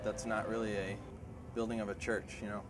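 A young adult man, a student, talking quickly and casually in an interview. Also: on the low side, flat delivery. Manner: fast, casual. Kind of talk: documentary interview. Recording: noisy background.

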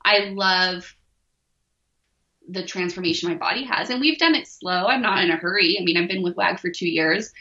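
A woman's voice: on the low side, normal speed, bright, forceful tone, roomy sound, muffled recording. A forty-year-old woman, a weightlifter, talking openly and self-deprecatingly as a podcast guest, laughing easily. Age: 40s. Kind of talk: candid podcast interview.

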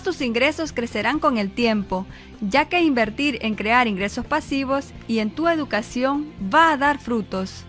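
A woman's voice: on the high side, a bit bright. A woman reading scripted instructional narration for an explainer video. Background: background music.

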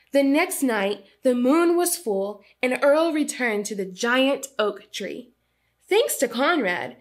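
A young woman hosting a children's read-aloud and lesson, phrasing clearly and expressively for young listeners. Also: sits high. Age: young adult. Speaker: storytime host.

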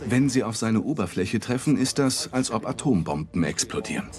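A man's voice: fairly low pitch, animated, normal speed, noisy background. A man reading a scripted documentary voice-over. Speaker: documentary narrator. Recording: voice under loud music. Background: dramatic music.

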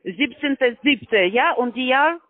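A man as a phone scammer, speaking halting, broken German patiently and repetitively on a phone call. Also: very high voice, expressive. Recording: tinny phone line.

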